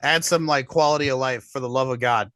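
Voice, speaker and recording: A man's voice: mid-range voice, fairly quick, fairly bright tone, smooth, dry recording. A man co-hosting a gaming podcast in casual chat, joking crudely and laughing often. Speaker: podcast co-host.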